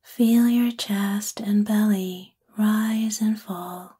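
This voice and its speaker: A woman with a soft, breathy, whispery voice gently and soothingly guides a sleep meditation in an ASMR-like style. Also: medium pitch, steady tone. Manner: gentle, soothing, unhurried phrasing.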